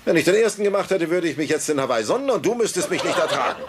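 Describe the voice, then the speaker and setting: A man's voice: fairly high pitch, animated, very fast, natural voice. An actor playing a joking older grandfather in a German-dubbed sitcom, theatrical and wisecracking. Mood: playful. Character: joking, breezy grandfather, a travelling salesman. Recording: noisy TV sitcom soundtrack.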